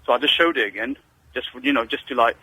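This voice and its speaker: Man, radio caller, fast, bright, husky, hesitant, phone line. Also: medium pitch, no room sound. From Britain. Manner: rambling, hesitant, many restarts and fillers. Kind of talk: phone-in call.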